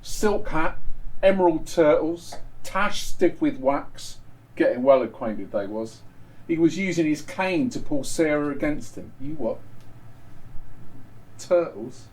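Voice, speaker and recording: Man as young Victorian chorus dancer, female, cheeky, gossipy, sardonic, colloquial, theatrical stage storytelling, lifted pitch. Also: lively intonation, slow, a little mellow, poor audio. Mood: gossipy, brisk. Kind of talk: first-person dramatic monologue reading.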